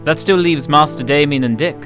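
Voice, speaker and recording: A man's voice: a little high, brisk, music in the background, lightly acted. A man playing a devoted, dry-witted older butler in a radio drama, formal and wry. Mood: consoling.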